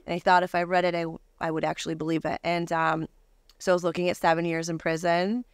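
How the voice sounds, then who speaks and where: Female interview guest, lower register, close dry sound, conversational first-person testimony. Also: level tone, quick pace, smooth, no echo.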